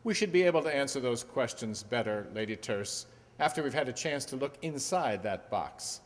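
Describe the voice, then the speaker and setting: Radio mystery sleuth played by a man: urbane, assured, inquisitive, animated melody, long reverb. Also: mid-range voice, normal speed, lightly acted. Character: shrewd, composed gentleman detective. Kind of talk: radio play acting. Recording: echoey hall reverb.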